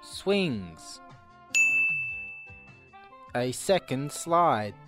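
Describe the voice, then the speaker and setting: A man's voice: medium pitch, very animated, very slow, loud music behind the voice. Man doing an earnest, dim instructional video host. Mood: earnest, upbeat. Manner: repetitive, circular, over-explaining. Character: earnest, slow-witted male DIY instructional video host.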